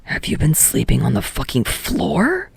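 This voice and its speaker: A woman voicing an irritable, blunt teenage boy with a low gravelly rasp and a curt, dry manner in an audiobook story. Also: wildly swooping pitch, a little slow, a little mellow, no echo, heavily acted. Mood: irritated, dry.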